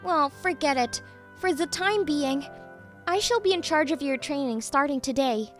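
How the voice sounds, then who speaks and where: A woman's voice: sits high, animated, moderate pace, faint music behind. A woman voicing a bossy, teasing young female boss and jilted ex in an anime-style manga dub, commanding and needling. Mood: stern, needling.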